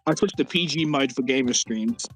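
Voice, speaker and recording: A man's voice: medium pitch, moderate pace, faint music behind, low-quality recording. A man who stutters and repeats words, chatting earnestly on a game livestream. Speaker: co-host. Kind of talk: casual livestream chat.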